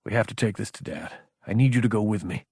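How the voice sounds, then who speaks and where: A man's voice: fairly low pitch, quick pace, darkish tone, breathy edge, no room sound, studio quality. A man voicing a young prince and military officer who speaks in a stern, blunt, commanding way. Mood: urgent.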